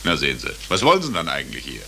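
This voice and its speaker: An actor playing an officious, condescending, brusque consul in a 1940s German radio drama. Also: male voice, a bass voice, huge pitch swings, racing, vocal fry, bright, forceful tone, heavily acted. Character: officious, dismissive American consul, condescending and evasive. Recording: hissy old radio drama recording.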